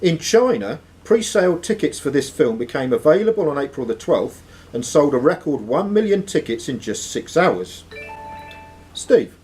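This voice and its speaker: Male quizmaster, fairly high pitch, very lively intonation, reading live quiz questions. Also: moderate pace, a little hiss or hum.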